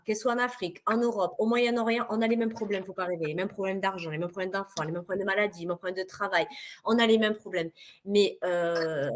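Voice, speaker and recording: Emphatic woman from France on a call. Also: mid-range voice. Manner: emphatic, repetitive, insistent. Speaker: panelist. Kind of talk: passionate webinar discussion. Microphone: video call.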